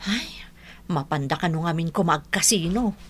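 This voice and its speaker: A woman's voice: lowish voice, lively intonation, normal speed. A woman acting an earnest, pious, gossipy Filipino village neighbour in an Ilocano radio drama. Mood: alarmed.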